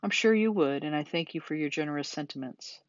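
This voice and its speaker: A weary female spider from a children's story, voiced by a narrator, composed and gently firm. She speaks in a low-key manner. The recording is very dry and a touch dull. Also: a little fast, no echo, close to an everyday voice. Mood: gracious. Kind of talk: children's storybook character reading.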